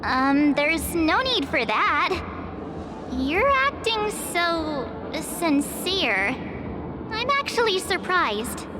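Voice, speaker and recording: A woman's voice: very high voice, very animated, extremely slow, exaggerated voice. A woman voicing a bubbly, childlike young girl in a video game, candid and easily startled. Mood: frank, animated. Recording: loud game music behind the voice.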